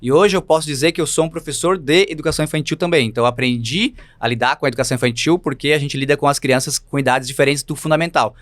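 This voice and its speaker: Man, 30s, Santa Catarina accent, fast, playful, slightly high voice, dry studio sound. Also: no room sound. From Santa Catarina, Brazil. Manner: lively, playful, fast-talking with many "né". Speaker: guest, physical education teacher. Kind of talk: podcast interview.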